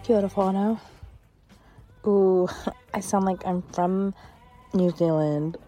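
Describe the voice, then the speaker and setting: A woman's voice: medium pitch, fairly even intonation, takes it slowly, mellow, soft background music. Woman telling a funny story. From California. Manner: self-mocking, storytelling. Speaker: caller sharing a story. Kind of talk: voice message anecdote.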